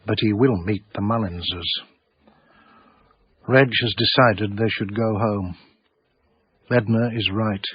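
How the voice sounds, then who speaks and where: A husky, lowish male narrator, telling a story with wry deliberation. The radio drama recording is very dry and a little dull. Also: no echo. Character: wry, literary-minded art dealer telling his story.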